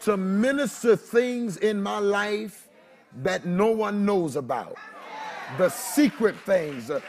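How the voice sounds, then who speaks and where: A man in his thirties preaching with passion on a panel, halting and repeating words for emphasis. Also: high-pitched, a little hiss or hum. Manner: impassioned, halting repetition for emphasis. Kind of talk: fervent preaching in conversation. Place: large TV studio set with audience. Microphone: lapel mic.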